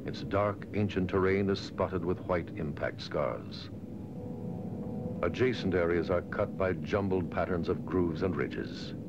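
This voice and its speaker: A man reading scripted documentary narration in a measured, formal way, on a dated soundtrack. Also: low-pitched, very noisy. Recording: dull, dated lo-fi film soundtrack. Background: music.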